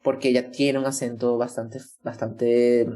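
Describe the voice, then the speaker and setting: A speaker from Venezuela, reflecting in a measured way on a family experience. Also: mid-range voice, flat delivery, mellow. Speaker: interviewee. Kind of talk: personal testimony.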